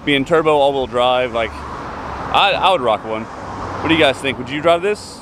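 A man's voice: mid-range voice, expressive, unhurried. Man vlogging cars outdoors over street noise. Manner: enthusiastic car-enthusiast talk. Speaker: car vlogger. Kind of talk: casual walkaround vlog commentary to viewers. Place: outdoors by roadside car lots and shops. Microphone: handheld camera mic.

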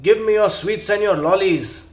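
Bullying rat bandit, put on by a man reading a storybook, loud, gloating, boomy echoey room. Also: lively intonation, slow, full character voice. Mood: bellowing. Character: greedy bullying male rat highwayman.

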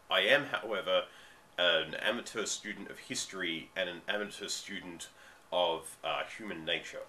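A man's voice: lowish voice, bright, forceful tone, slight background noise. An Australian man in his thirties, getting over a cold, giving an emphatic ranting commentary to a webcam in a dim room at home. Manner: ranting, emphatic, drawn-out stresses. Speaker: vlogger. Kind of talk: commentary monologue.

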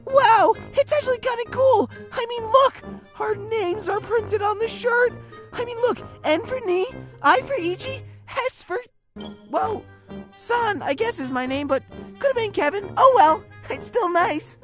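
A man voicing an excitable, sweet, naive young dragon head in a cartoon comic dub. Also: very high voice, a little slow, music in the background, pitched above the performer's own voice. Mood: excited.

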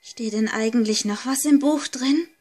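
A woman's voice: a little high, normal speed, darkish tone, breathy edge. A woman playing a frightened, hesitant girl exploring a haunted villa in an amateur horror audio drama. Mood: nervous. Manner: hesitant, anxious.

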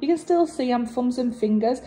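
Slightly husky woman, mellow chatty voice-over. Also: fairly high pitch, normal speed, faint music behind. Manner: chatty, warm and encouraging, with fillers and restarts. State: low energy after recent surgery. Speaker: resin artist and channel host. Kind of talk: casual voice-over narration of a craft video.

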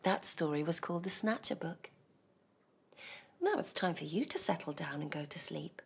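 A woman in her thirties reading a rhyming bedtime story aloud in a studio, soft and hushed, often dropping to a whisper. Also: sits low, lively intonation, moderate pace, gravelly, breathy edge. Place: cosy studio set.

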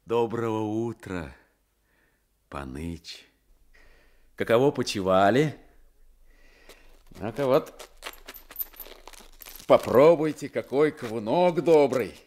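An actor playing a gentle, melancholy sailor turned convict in a radio drama, speaking in humble, folksy, weary phrases. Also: male voice, mid-range voice, animated, slow, pauses often. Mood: kindly. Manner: soft-spoken folksy servility, brief weary phrases. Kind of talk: Soviet radio drama acting.

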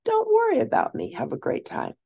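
A woman's voice: very high-pitched, huge pitch swings, brisk, vocal fry, dark tone, pitched above the performer's own voice, heavily acted. A woman voicing a curious, confident, chatty young girl in a storybook, eager and emphatic. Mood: breezy, confident.

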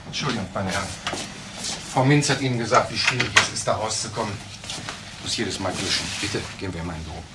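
An actor playing a cool, disciplined professor in a German crime radio drama, speaking in a measured, formal way. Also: male voice, on the low side, heavy background noise, natural voice. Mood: formal, brisk. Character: disciplined, cool professor, bitter about his wife.

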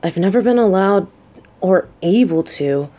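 Mellow, slow-talking military bodyguard woman acted by a woman. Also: mid-range voice, lively intonation. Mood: vulnerable, softening. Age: adult. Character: tough military lieutenant bodyguard woman, guarded, sarcastic, secretly vulnerable. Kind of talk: scripted roleplay audio drama, addressed to listener.

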